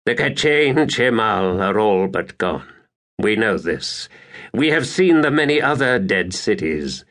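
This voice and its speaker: A man's voice: on the low side, lively intonation, measured pace, a bit bright. A man voicing a victorious, silver-tongued warrior commander in a fantasy audiobook, speaking in a grand, formal, declamatory way. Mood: confident, scheming. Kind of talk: dramatic audiobook character reading.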